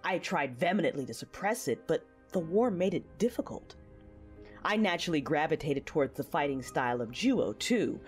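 Low-voiced, brooding Jedi woman played by an actress. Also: a little mellow. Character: intense, passionate female Jedi knight recounting her past. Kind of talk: first-person audio drama narration with dialogue.

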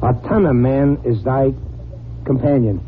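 An actor playing a naive, good-natured, slangy cab driver in 1940s radio comedy-mystery style. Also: male voice, mid-range voice, a little slow, close to an everyday voice. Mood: amused, naive. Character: dim, good-natured, streetwise cabbie, a man. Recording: tinny, noisy old radio recording.

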